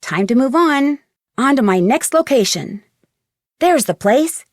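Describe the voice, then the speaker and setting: A woman's voice: sits high, lively intonation, a little slow, no echo, studio quality. An actress in a video game playing a young female amateur sleuth, earnestly thinking aloud. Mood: determined, eager. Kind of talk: scripted video game voice acting.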